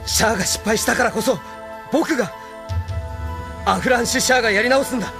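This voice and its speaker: An actor playing an earnest, defiant young idealist hero in an audio drama. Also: male voice, very high-pitched, brisk, gravelly, music in the background. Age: young adult. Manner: earnest, questioning.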